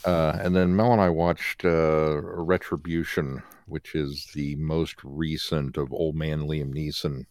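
A man co-hosting a podcast, chatting casually about films in a halting, wry manner. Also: a bass voice, flat delivery, a little mellow, no room sound, clean studio sound. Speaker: podcast host. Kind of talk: casual chat, joking film talk.

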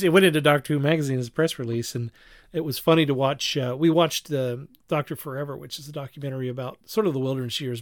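A man's voice: medium pitch, no echo. Man chatting casually in a dry close recording. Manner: halting, rambling, self-correcting delivery; chuckles while talking. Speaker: podcast host. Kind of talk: casual review chat.

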